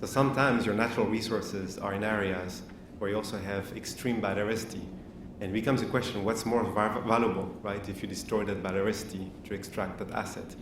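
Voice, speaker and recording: Low-voiced man answering in an echoey hall. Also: fairly even intonation. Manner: measured, explanatory, thinking aloud. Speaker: panel speaker. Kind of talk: academic panel answer. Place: lecture hall. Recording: echoey hall, distant mic, noisy.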